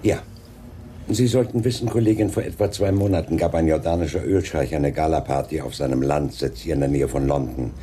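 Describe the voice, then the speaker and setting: An actor playing a sardonic, self-assured police inspector in a German radio crime drama, dryly and with ironic courtesy. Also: male voice, very deep voice, fairly quick, dark tone, slight background noise. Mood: matter-of-fact.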